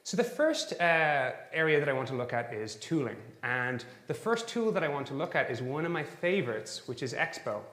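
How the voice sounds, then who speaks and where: A man's voice: fairly high pitch, big pitch swings, normal speed, hardly any pauses, fairly bright tone. An Irish man in his thirties giving a technical conference talk, upbeat and explanatory, with filler words between phrases. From Ireland. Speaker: conference speaker. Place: conference hall. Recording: echoey conference hall.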